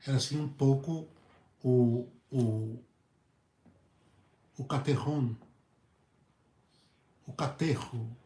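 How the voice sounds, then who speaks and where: A hoarse male priest with a Brazilian Portuguese accent, preaching earnestly in halting phrases and often repeating his words. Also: medium pitch, mellow. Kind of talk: preached talk on a video channel. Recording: echoey room.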